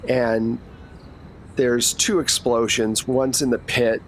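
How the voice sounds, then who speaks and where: A man's voice: medium pitch, flat delivery, takes it slowly, slight background noise. A man narrating a tabletop game as game master, improvising in a casual, conversational way.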